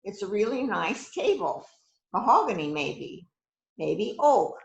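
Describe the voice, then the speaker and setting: Self-assured, musing detective for amateur stage mystery, played by a woman; unhurried, animated intonation, roomy sound. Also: medium pitch, vocal fry, highs cut off, full character voice. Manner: deliberate, musing with frequent hmms. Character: shrewd, self-assured police detective, the boss, questioning suspects. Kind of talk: amateur readers theater murder mystery. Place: indoors on a virtual event call. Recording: roomy echoing video call sound.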